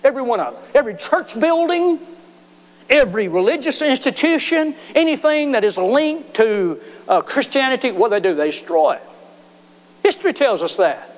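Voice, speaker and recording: A man's voice: extremely high pitch, lively intonation, moderate pace. A man preaching a sermon as pastor, emphatic, his voice climbing sharply on key words. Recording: long reverb of a large hall.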